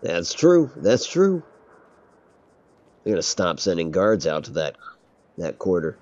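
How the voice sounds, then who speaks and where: A man's voice: sits low, big pitch swings, measured pace, a little mellow, muffled recording. A man streaming a game, chatting casually, rambling and laughing often. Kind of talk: casual gameplay chat.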